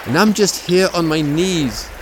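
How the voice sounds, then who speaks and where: A man playing a grieving, weary old man alone by the sea in an audio drama, speaking in a halting, anguished, theatrical way. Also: fairly high pitch, lively intonation, background noise. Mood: weary, reflective. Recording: music and water sounds behind voice.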